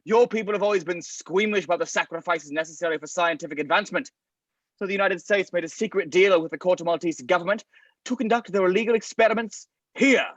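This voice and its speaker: Man acting callous scientist, high voice, sardonic and condescending, scripted drama, close dry sound. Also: fast, fairly bright tone, dry recording, dull audio. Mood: scornful. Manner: sardonic, condescending, foul-mouthed. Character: cynical, derisive scientist called the Thinker, contemptuous and callous. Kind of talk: dramatic table read of a film script.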